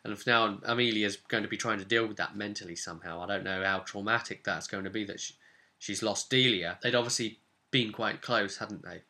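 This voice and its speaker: A man speaking casually as a game master, recounting a tabletop campaign in an animated, explanatory way straight to the listener. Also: fairly low pitch, brisk. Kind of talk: casual storytelling to camera.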